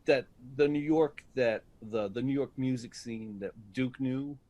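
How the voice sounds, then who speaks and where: A man's voice: medium pitch, a little slow, mellow, no room sound, highs cut off. A man being interviewed as a guest, reflecting thoughtfully and often searching for his words. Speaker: interview guest, voice actor in an audio drama.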